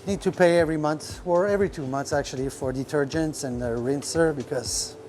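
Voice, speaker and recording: Man talking shop, halting. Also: fairly high pitch, a little mellow, a little hiss or hum. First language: French. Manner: rambling, many fillers, searches for words. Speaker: restaurant owner. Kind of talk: casual podcast talk. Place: restaurant kitchen.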